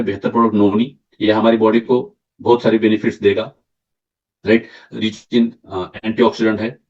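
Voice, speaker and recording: A man with a slightly hoarse throat leads a product training session. He explains point by point, stumbles and restarts words, and often asks "right?" to check on his listeners. The recording sounds distant and dull, like a mic set far from him. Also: mid-range voice, darkish tone. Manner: mixes English terms into Hindi, halting restarts. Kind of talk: sales training presentation.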